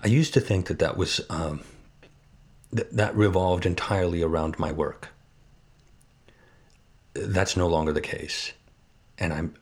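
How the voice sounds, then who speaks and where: A middle-aged man speaking thoughtfully in a documentary interview, pausing and restarting as he gathers his thoughts. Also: deep voice. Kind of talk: reflective personal interview. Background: loud guitar music.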